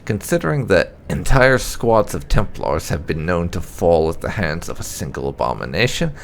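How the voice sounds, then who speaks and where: Low-pitched man reading aloud, gravelly edge. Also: sing-song, moderate pace, slight background noise. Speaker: gaming channel host. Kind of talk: scripted narration, reading game lore aloud.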